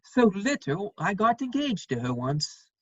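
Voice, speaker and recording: Woman as witty worldly gentleman, wry measured stage drama delivery, raspy edge, lively intonation, dull lo-fi sound. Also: medium pitch, no room sound. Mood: flippant, wry. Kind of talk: dramatic reading of a stage play.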